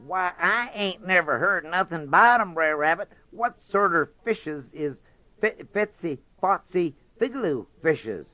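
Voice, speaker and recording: A man's voice: high-pitched, animated, measured pace, sharp tone, no room sound, voice pushed up, exaggerated voice. A man voicing a greedy, gullible male fox who stammers eagerly in storybook dialect. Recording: dull dry lo-fi recording.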